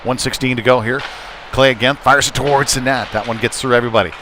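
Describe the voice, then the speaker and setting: Man calling hockey over clear rink noise. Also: mid-range voice, fairly even intonation, fast talker, pushed and bright. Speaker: play-by-play hockey commentator. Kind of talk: live ice hockey commentary. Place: indoor ice rink. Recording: noisy live arena stream, rink ambience. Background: clear rink noise and ambience.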